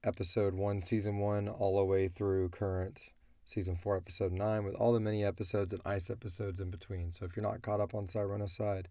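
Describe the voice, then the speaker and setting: A man with a stuffy, congested voice chatting casually and low-key as a host answering fan questions. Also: little pitch movement, brisk, dark tone, no room sound, clean studio sound. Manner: low-key, unenthusiastic-sounding. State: congested from a cold. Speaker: host of an audio drama Q&A show.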